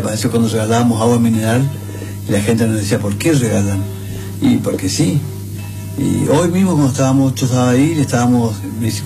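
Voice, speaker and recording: A man hosting a live radio show, chatting casually in long, rambling anecdotes full of restarts. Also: fairly low pitch. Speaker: radio host.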